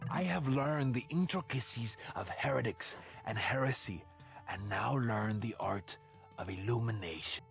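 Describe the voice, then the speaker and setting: A man's voice: mid-range voice, a little slow, creaky, a bit bright, soft background music. A man playing a studious, proud monk in a video game, with a formal, earnest delivery. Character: studious monk proudly reporting his religious learning. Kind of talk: scripted video game voice acting.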